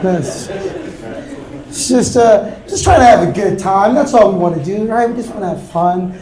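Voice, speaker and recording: A young man from Miami doing a stand-up set on a comedy club stage, with a deadpan, offhand delivery and quick asides. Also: sits high. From Miami, Florida. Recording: roomy club with crowd laughter. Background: audience laughter.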